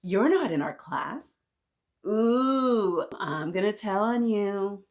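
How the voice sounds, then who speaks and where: A woman's voice: medium pitch, animated, takes it slowly, voice pushed up. A woman voicing taunting, tattling kindergarten children in a storybook, with a teasing sing-song manner.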